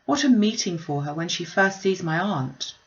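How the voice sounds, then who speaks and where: A woman voicing a frank, sceptical young gentlewoman in a classic novel, speaking firmly and with emphasis. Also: on the low side, animated, normal speed, highs cut off. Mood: indignant. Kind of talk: classic novel read aloud.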